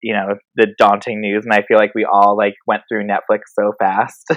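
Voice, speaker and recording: A drag performer from the Philadelphia area as a podcast guest, laid-back and laughing easily, filling talk with "like". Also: male voice, on the low side, flat delivery, moderate pace, fairly bright tone, dry recording. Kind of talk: casual interview answers.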